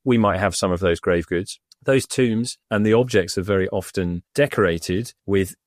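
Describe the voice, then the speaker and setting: A man's voice: low voice, moderate pace, no echo, studio quality. A man, an Egyptologist co-host, explaining thoughtfully over an internet call with frequent fillers and restarts. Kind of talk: casual explanatory podcast chat. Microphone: remote over the internet.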